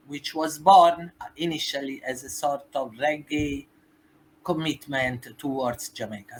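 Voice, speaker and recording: A woman's voice: low voice, rough recording. A woman from Italy with an Italian accent, speaking English as a second language with emphatic, non-native phrasing as a guest in a podcast interview. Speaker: guest, human rights activist.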